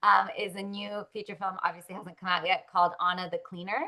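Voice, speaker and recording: Bright-voiced chatty actress. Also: female voice, mid-range voice, level tone, quick pace. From Russia. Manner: chatty, upbeat, frequent likes and ums, laughs often. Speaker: actress, interview guest. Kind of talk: casual interview chat.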